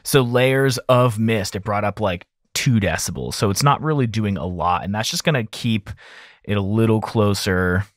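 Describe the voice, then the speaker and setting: A man talking informally and thinking aloud as an audio engineer in a software tutorial voice-over. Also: fairly low pitch, moderate pace, no room sound, clean studio sound.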